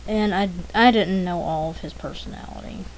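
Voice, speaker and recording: A woman's voice: on the low side, normal speed, low-quality recording. A woman giving casual, rambling commentary over her art demo, chatty and self-deprecating, thinking aloud as she goes. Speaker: artist narrating own drawing demo. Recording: steady background noise.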